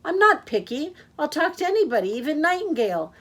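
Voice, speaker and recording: A woman voicing a chatty male yak taxi driver who rambles and complains without pause. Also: very animated, fairly bright tone. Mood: chattering. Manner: rambling, complaining, fast and high.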